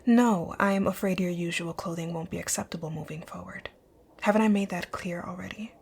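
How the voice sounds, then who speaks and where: A woman playing a rich, dominant company owner in an ASMR roleplay, speaking in a composed, commanding, breathy near-whisper. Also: on the low side, a little fast, a little mellow. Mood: businesslike, commanding. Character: rich, bossy, dominant female company owner, curt yet flirtatious. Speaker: audio roleplay voice actor. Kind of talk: ASMR roleplay, scripted one-sided dialogue.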